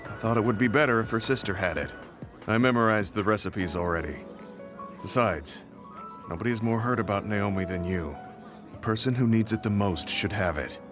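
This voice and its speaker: A kind, weary veteran soldier performed by a male actor for a video game. His voice sits on the low side and he speaks in measured, reflective phrases that carry long guilt. Prominent music plays behind him. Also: natural voice. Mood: earnest, kind. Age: middle-aged. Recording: studio voice under loud game music.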